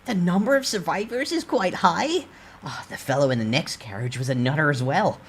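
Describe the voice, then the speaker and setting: A woman's voice: low-pitched, expressive, normal speed, slight background noise. A woman voicing a mocking, showy little goblin-like spirit in a dramatic storytime reading, teasing and exclaiming. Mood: smug delight.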